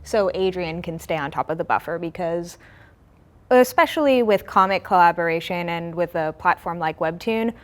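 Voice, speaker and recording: Young adult woman, slightly low pitch, explanatory interview talk, writer. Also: normal speed. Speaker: comic writer and co-creator being interviewed.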